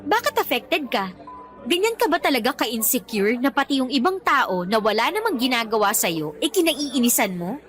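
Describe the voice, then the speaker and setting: Fed up hurt girlfriend in a pinoy radio drama, high voice, very animated, reproachful, faint music behind. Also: moderate pace. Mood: annoyed, defiant. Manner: sarcastic, reproachful. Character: exasperated, hurt young girlfriend in a quarrel. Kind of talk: Filipino radio love drama acting.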